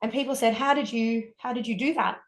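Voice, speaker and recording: A woman's voice: fairly high pitch, fairly even intonation, brisk, echoey room. A woman with an Australian accent, a wellness coach telling her story in a candid, upbeat interview.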